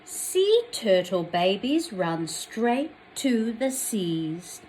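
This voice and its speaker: Slow, expressive Australian woman reading to children. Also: mid-range voice, slight background noise, poor audio. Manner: addresses young children directly, expressive storytelling. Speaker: teacher reading a picture book aloud to children. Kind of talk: children's read-aloud with questions to listeners.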